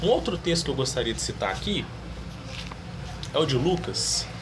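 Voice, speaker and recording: A man's voice: medium pitch, very animated, normal speed, background noise. A man giving his opinion in a casual monologue, earnestly reading Bible verses aloud. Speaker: religious video creator.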